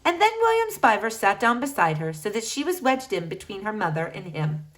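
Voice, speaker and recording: A woman reading a children's book aloud with expressive storytelling. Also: medium pitch, brisk. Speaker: reader.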